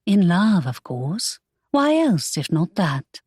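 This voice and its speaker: A woman voicing an innocent, spirited young lady in an audiobook, speaking earnestly in a raised, lively voice. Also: on the low side, takes it slowly, breathy edge, no room sound, clean studio sound, exaggerated voice. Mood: earnest indignant.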